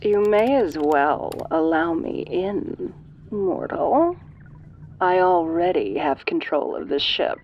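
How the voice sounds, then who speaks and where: A woman voicing a sinister, domineering ancient alien in a roleplay, speaking softly with a condescending, seductive manner. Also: on the low side, very animated, dull audio, noisy background, full character voice. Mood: haughty, coaxing. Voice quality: soft. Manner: condescending, imperious, slow and teasing. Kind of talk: audio roleplay addressed to the listener.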